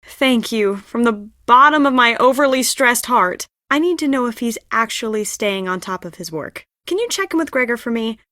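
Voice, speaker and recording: Young woman stage director, high voice, dry close sound, theatrical, confiding, stressed, adventure game dialogue. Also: expressive, normal speed, bright, forceful tone, no room sound. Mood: brisk, friendly. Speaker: video game voice actor.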